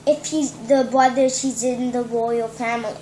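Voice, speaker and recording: A female speaker giving casual, halting answers during a story read-aloud. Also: fairly high pitch, fairly even intonation, mellow, echoey room, a little hiss or hum, low-quality recording.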